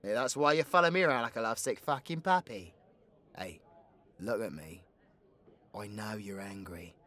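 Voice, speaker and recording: Man acting a cocky British supervillain, wildly animated. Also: mid-range voice, fast. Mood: teasing, tender. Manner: theatrical, swearing, swinging from hushed confiding to loud outbursts. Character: cocky, foul-mouthed young male supervillain, teasing and affectionate. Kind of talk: intimate audio roleplay monologue.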